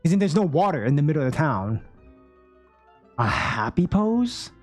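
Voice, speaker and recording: A woman's voice: low-pitched, very animated, normal speed, a little mellow, soft background music. A woman live streaming a video game with casual, slangy commentary. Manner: slangy, informal.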